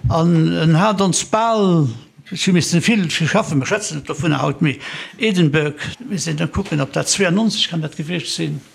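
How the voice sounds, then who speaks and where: Creaky-voiced older Luxembourgish man, rambling. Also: fairly high pitch, moderate pace. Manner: rambling, mixes French words into talk. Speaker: interview guest. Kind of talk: casual reminiscing conversation.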